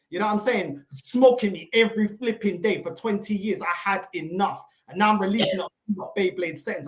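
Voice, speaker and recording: A woman on a fan panel, venting with fiery scorn, dropping Portuguese curses mid-rant. Her voice bounces around a roomy, echoey space. She sits at an everyday middle pitch and speaks over a remote call. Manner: mocking, fiery, mixes in Portuguese insults. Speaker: football panel guest.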